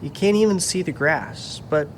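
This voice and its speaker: A man playing a gentle, weary older widowed carpenter in a puppet show. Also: a little high, animated, normal speed, a little hiss or hum, natural voice. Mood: wistful, tired. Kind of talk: church puppet show dialogue.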